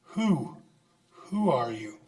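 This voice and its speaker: A man's voice: medium pitch, very animated, darkish tone. A man playing a crotchety, stubborn old miser who stammers and exclaims in an old-time radio drama. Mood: fearful. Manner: halting, exclamatory, stammering in fright.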